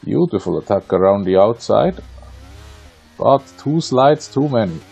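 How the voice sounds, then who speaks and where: An Austrian man, a native German speaker, commentates on a motor race in a low, creaky voice. His tone is soft-edged and dark, his delivery conversational with playful asides. Music plays behind him. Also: lively intonation, unhurried. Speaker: sim racing league commentator.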